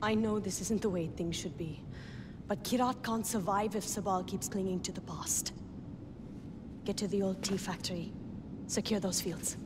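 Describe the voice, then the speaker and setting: An actress playing a firm, pragmatic rebel leader in a dramatic video game. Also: female voice, medium pitch, fairly even intonation, normal speed, close to an everyday voice. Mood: resolute. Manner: firm, insistent. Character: determined, pragmatic female rebel leader arguing hard. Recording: clear noisy game ambience behind.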